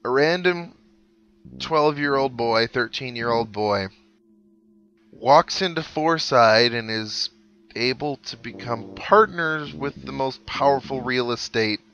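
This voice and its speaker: Bright-voiced, wry gaming host. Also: male voice, mid-range voice, slow pace. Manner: wry, sarcastic asides. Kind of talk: casual let's play commentary. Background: game music.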